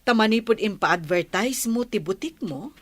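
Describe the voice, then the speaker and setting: An actress plays a kindly, composed lady of means with a Filipino accent. She speaks at a medium pitch in an encouraging radio drama manner, recorded dry. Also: animated, moderate pace, no echo, lightly acted. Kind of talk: Ilocano radio drama acting.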